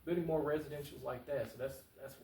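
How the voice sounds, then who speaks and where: Fast-talking man, echoey room. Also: medium pitch, fairly even intonation, vocal fry, warm tone. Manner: passionate, informal, repeats phrases for emphasis. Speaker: interview guest, local political candidate. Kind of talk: casual, earnest talk-show interview answers. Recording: roomy echo, distant mic.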